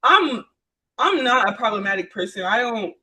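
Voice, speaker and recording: Young female interview guest, high voice, frank and emphatic, informal slangy storyteller. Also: lively intonation, normal speed, pushed and bright, husky. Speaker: interview guest, trade program graduate. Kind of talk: candid casual storytelling.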